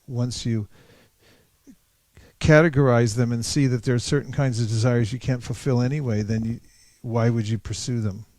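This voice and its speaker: A man leading a reflective group discussion in a thoughtful, halting way, often correcting himself as he goes. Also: mid-range voice, fairly even intonation, a little mellow, no echo. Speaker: discussion leader at a scripture class.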